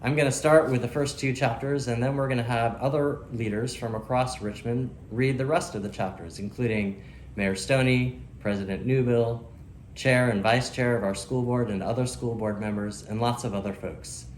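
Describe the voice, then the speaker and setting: A man hosting a children's book read-aloud, speaking expressively with emphatic phrasing and deliberate pauses. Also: medium pitch, fairly even intonation. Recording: roomy echo, faint background noise.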